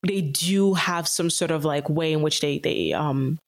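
A woman's voice: deep voice, unhurried, creaky. A young woman from Nigeria with a Nigerian accent mixed with Canadian, talking casually as an interview guest and filling her speech with "like" and laughs. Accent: Nigerian with Canadian mix. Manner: chatty, many "like" fillers, laughs often.

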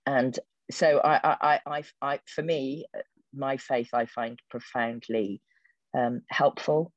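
A woman's voice: rumbling low, steady tone, takes it slowly, no echo. A woman in her sixties, a theatre director and actor, giving thoughtful, wry answers in an interview, often restarting her sentences. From England. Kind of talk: reflective conversational answers. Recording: dull lo-fi dry recording.

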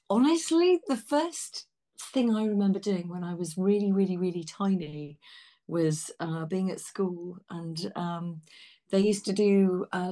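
A woman's voice: on the low side, warm tone. A woman with an English accent chatting casually as an interview guest, effusive and emphatic, often hesitating mid-sentence. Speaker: interview guest, theatre practitioner. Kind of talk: casual chat.

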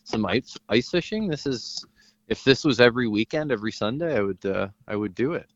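A man's voice: medium pitch, animated, no room sound. A man being interviewed who rambles and stops and starts in casual chat. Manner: rambling, halting, with laughs. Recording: dull, lo-fi sound.

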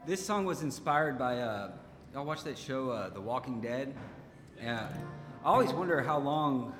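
A man's voice: mid-range voice, lively intonation, a little slow, music in the background. A man from Texas, a musician, making casual stage banter in a live venue. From Texas, United States. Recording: roomy, echoing live venue recording.

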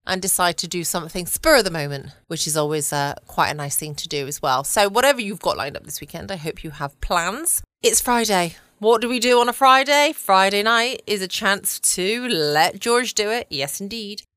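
Bright, clear southern English woman podcaster. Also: medium pitch, moderate pace, hardly any pauses, no echo, studio quality. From United Kingdom. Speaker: podcast host. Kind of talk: relaxed bedtime podcast chat. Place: home, dry quiet room.

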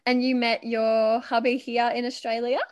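A woman's voice: fairly high pitch, little pitch movement, normal speed. A woman hosting an interview podcast, encouraging her guest and laughing often. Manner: giggly, encouraging, with frequent laughter. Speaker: podcast host.

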